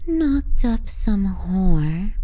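Girl doing a clingy manipulative little sis for asmr, super slow breathy whispery talk, really mellow and dark, kinda mocking. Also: mid-range voice, sing-song. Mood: bitter, taunting. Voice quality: soft, breathy.

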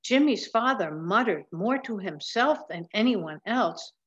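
A woman in her seventies reading a picture book aloud in a deliberate way, with emphatic pauses. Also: medium pitch, expressive, lo-fi sound. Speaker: storybook reader. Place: large room at home with wooden ceiling, indoors.

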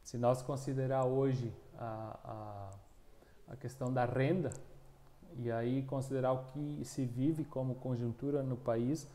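Man, thirties, southern Brazilian accent, halting speech, mellow dark tone, lapel mic, small room. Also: medium pitch, fairly even intonation. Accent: southern Brazilian Portuguese. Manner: halting, many restarts and fillers. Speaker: regional union coordinator. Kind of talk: interview answers. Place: small room, plain wall, indoors.

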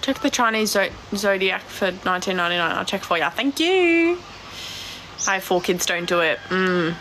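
A blunt Australian woman in her twenties with a naturally deep voice, chatting casually on a live stream from her phone and swearing freely. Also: lively intonation, moderate pace, a bit bright, a little hiss or hum. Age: 20s. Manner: blunt, swears a lot, laughs easily. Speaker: cattle farmer vlogger. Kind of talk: casual live stream chat answering comments. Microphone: phone at arm's length.

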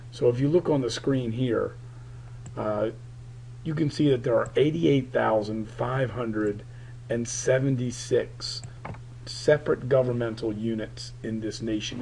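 A male political science teacher giving a recorded screen lecture, his voice raspy while it recovers, talking in a halting way with many fillers. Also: mid-range voice, a little mellow, a little hiss or hum, low-quality recording.

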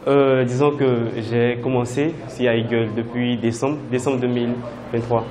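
Young man at average pitch. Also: flat delivery, normal speed, crowd noise. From Benin. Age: 20s. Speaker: school marketing staffer. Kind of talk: interview. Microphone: lapel.

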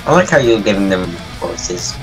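A man's voice: fairly low pitch, big pitch swings, normal speed, music in the background, low-quality recording. A young man chatting casually with a friend as they play a game together. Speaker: co-player on voice chat. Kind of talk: casual gaming chat.

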